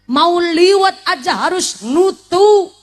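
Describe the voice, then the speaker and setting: Woman preacher, late 20s, high voice, playful and questioning, sermon to a live crowd. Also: slow. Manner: lively, teasing, asks the audience questions, mixes in Javanese and Sundanese words. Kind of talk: humorous Islamic sermon to a live congregation.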